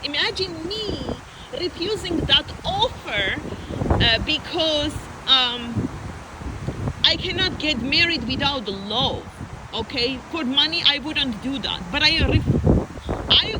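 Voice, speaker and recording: A woman's voice: sits high, lively intonation, takes it slowly. A woman from Armenia talking in an unscripted personal monologue, emphatic and repetitive, piling up lists as she speaks. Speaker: vlogger. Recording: loud traffic noise outdoors.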